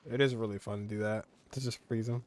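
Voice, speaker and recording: A man's voice: on the low side, steady tone, quick pace, slight background noise. A man giving casual gameplay commentary, muttering offhandedly to himself as he plays. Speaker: gamer, streamer. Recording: game music behind voice.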